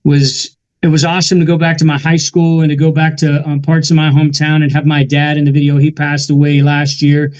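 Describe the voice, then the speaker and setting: A man in his forties, a veteran and musician, telling his story in an earnest, steady way during a casual interview. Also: a little high, a little fast, lo-fi sound. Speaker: guest musician and army veteran.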